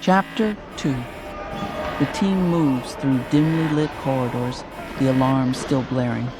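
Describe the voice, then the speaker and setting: A man's voice: mid-range voice, fairly even intonation, a little slow, background noise, lightly acted. A man narrating a scripted sci-fi horror audio drama in a serious manner. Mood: tense narration. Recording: loud music bed behind.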